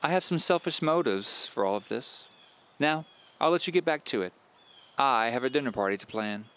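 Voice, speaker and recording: A man's voice: medium pitch, expressive, normal speed, a bit bright, clean tone, voice pushed up, lightly acted. A man voicing a kindly, grandmotherly widow with a folksy, teasing, chatty manner in an audio drama. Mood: warm, eager.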